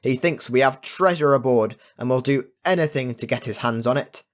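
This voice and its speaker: Heroic sailing captain played by a male narrator for children's audiobook: raised pitch, urgent, drawn-out rallying calls. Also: lightly acted.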